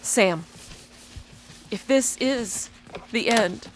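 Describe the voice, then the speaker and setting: An actress playing a frightened, melodramatic character making a halting confession in a comic audio drama. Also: female voice, on the high side, a little hiss or hum, rough recording. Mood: fearful, melodramatic. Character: melodramatic frightened small doomed character confessing to companion Sam.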